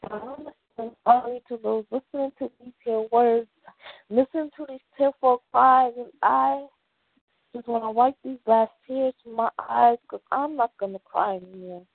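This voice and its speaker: A woman performs a confessional spoken word poem in a dramatic, deliberate way, with long pauses between phrases. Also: a little high, fairly even intonation, creaky, no room sound, phone-quality sound.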